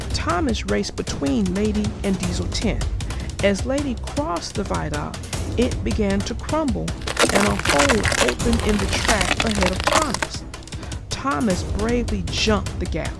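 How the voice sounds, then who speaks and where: A woman narrating a scripted children's read-aloud as an expressive storyteller. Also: medium pitch, vocal fry. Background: music.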